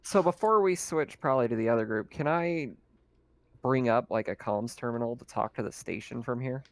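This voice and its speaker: A man's voice: medium pitch, moderate pace, no echo. Man chatting as game player, dry sound. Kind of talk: casual game session chat.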